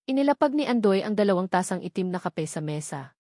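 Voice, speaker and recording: Female storyteller, scripted dramatic narration, mid-pitched voice, very dry close recording. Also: animated, fast talker, warm tone, no echo.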